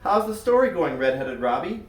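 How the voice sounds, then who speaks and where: A cool, casual little schoolgirl in a children's tale, put on by a male reader. The intonation is very lively. Room echo surrounds the voice, which sits back from the mic. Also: on the high side, moderate pace, voice pushed up. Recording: roomy echo, far from mic.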